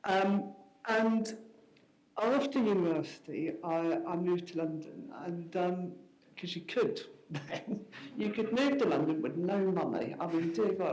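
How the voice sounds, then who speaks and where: A woman's voice: lowish voice, mellow. A woman in her sixties who grew up in Scotland, telling her story to an audience at a live event. She is dry and self-mocking, and she often stops and restarts her sentences. The sound is roomy, as in a hall. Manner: wry, self-deprecating, many restarts and fillers. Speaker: guest speaker. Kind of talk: conversational talk on stage.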